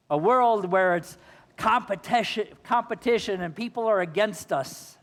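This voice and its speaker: Male minister, high voice, a bit hoarse, earnest sermon in a long-reverb church. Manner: earnest, rhetorical questions to the congregation. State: slightly hoarse. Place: church sanctuary.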